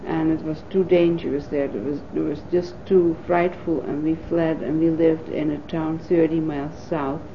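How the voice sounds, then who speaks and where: A woman in her fifties from the Netherlands, a native Dutch speaker, recalling her life in an oral history interview with thoughtful pauses and fillers. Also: low voice, little pitch movement, slight background noise. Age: 50s. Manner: thoughtful, pausing with frequent fillers. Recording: dull lo-fi 1980s tape recording.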